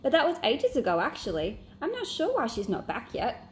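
Storybook girl woman reader performing, caring and chatty, slightly high, faint noise in background. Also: huge pitch swings, a little fast. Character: observant, caring girl in a child detective club.

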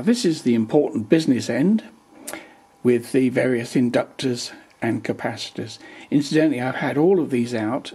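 An older man with a slightly husky voice walks through the details of his hi-fi speakers at an easy, chatty pace. He is plain-spoken and drily wry. The sound comes from a camera's own microphone in a living room at home. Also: medium pitch, a little mellow. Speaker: hobbyist reviewer. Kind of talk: casual technical explainer. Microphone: built-in camera microphone.

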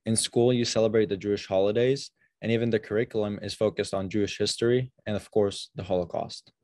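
A man's voice: lowish voice, steady tone, brisk, a little mellow, dry recording, studio quality. Young man giving an earnest speech. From Israel. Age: young adult. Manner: earnest, with small restarts. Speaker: student president. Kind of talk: prepared speech. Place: small room indoors. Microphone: webcam on a video call.

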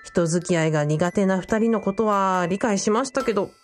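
A woman's voice: mid-range voice, a little fast, faint music behind, natural voice. A woman playing a flustered, earnest young male student in a radio drama, speaking in casual but deferential youthful language.

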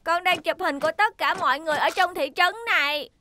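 A woman's voice: very high-pitched, a little fast, sharp tone, slight background noise. A woman voicing a friendly, eager young helicopter rescue robot in a children's cartoon dub, polite and childlike.

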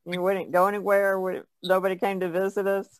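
A woman's voice: mid-range voice, level tone, fast talker, low-quality recording. An older American woman chatting casually on a podcast in a wry, plain-spoken way. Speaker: podcast panelist. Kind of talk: casual chat.

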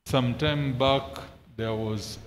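A middle-aged man, a pastor, delivering prophecy in short, authoritative phrases with pauses for interpreters. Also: mid-range voice, steady tone, music in the background. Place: large concrete hall full of congregants. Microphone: handheld microphone. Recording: reverberant noisy hall, PA sound.

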